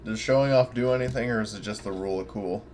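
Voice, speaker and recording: A man with a slightly low voice talks casually as a player in an online game. There is faint noise on his line. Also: fast, a little mellow.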